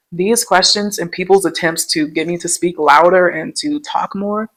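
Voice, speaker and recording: A soft-spoken young woman hosting a podcast, telling her own story in a gentle, reflective and earnest way. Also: lowish voice, poor audio. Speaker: podcast host. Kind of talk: personal storytelling monologue.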